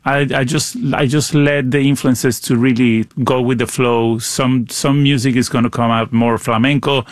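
A middle-aged man from Venezuela with a Venezuelan accent, answering interview questions in a halting way, often repeating words. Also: medium pitch, fairly even intonation, no room sound, studio quality. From Caracas, Venezuela. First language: Spanish. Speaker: musician, interview guest. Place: radio studio.

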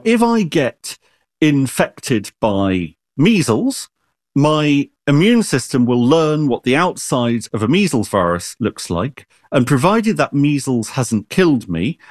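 Lively expert man, very expressive pitch, dry close sound. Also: no room sound. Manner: animated, explains with everyday analogies, frequent restarts. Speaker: expert guest. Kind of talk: explanatory interview talk.

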